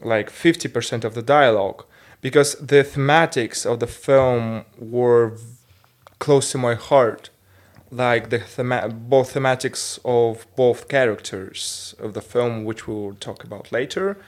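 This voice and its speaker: Slow, hesitant man. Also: mid-range voice, steady tone. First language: Russian. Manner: hesitant, searching for English words. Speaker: podcast co-host. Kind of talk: casual film discussion.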